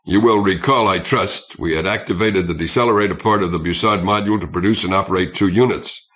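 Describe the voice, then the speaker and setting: Man reading as a proud, deep-voiced starship captain. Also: quick pace, a bit bright. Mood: contemptuous. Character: proud, contemptuous Russian starship captain, desperate underneath. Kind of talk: audiobook character acting.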